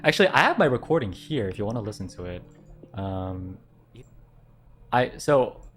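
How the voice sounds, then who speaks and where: A young man chats casually on a livestream in a quiet voice that doesn't carry far. He rambles, repeats phrases and breaks into laughter as he talks. Faint music plays underneath. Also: on the low side, sing-song, normal speed. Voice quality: quiet voice that does not project. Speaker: streamer.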